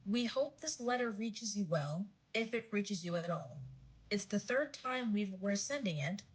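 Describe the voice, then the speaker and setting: A woman playing a brainy, matter-of-fact young woman in an audio drama, reading in a precise, deliberate way. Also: medium pitch, lively intonation, husky, rough recording.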